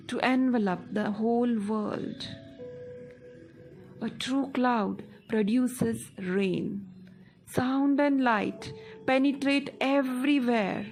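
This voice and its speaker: A woman with an Indian accent narrates a scripted guided meditation in measured, deliberate phrases with pauses. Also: a little high. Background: music.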